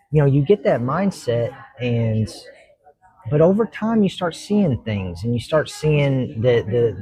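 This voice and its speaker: A man's voice: mid-range voice, a little mellow, husky, low-quality recording. A man, a judge answering interview questions in an earnest, reflective way and explaining at length. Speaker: judge, talk show guest.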